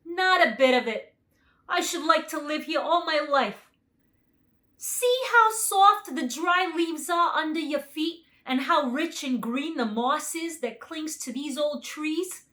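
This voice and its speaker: Woman playing male storybook lion, big and cheerful, confident, emphatic, high raised voice. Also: slow pace, sharp tone, exaggerated voice. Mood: joyful.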